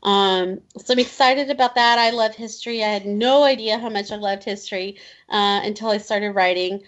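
A woman's voice: mid-range voice, steady tone, moderate pace. A woman in her fifties from Indiana, chatting as an interview guest with many fillers and restarts, laughing now and then. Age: 50s. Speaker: children's book author, guest. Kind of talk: casual interview chat. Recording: slightly dull lo-fi audio.

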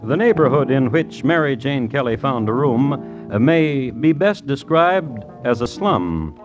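Casting: male vintage radio host, formal deadpan with expressive pitch turns, wry crime narration. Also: measured pace, dull audio, faint music behind.

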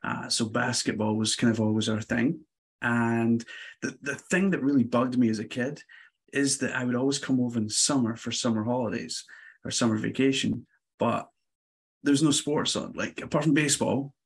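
A man from Scotland with a Glasgow accent chats easily as a podcast guest, telling his story in a relaxed, friendly way. His voice has a husky, slightly rough edge at an ordinary pitch. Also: normal speed. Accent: Scottish, Glasgow. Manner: relaxed, chatty, laughs lightly. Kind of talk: casual interview storytelling.

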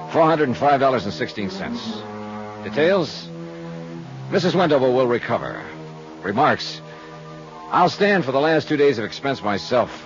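A male actor as a wisecracking American private investigator from a vintage radio serial. The voice is fairly low, dry and clipped. The sound is muffled, with music behind it. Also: close to an everyday voice. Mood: brisk, dry. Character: hard-boiled, sardonic male insurance investigator. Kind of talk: 1950s radio drama acting and first-person narration. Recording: muffled 1950s radio recording.

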